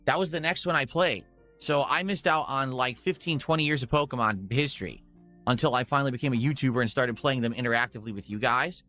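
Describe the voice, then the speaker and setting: A man hosts a gaming livestream, chatting and rambling casually to his audience. His voice is medium in pitch with a sharp, forceful brightness. Light music runs underneath. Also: fast talker. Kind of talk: casual livestream chat to viewers.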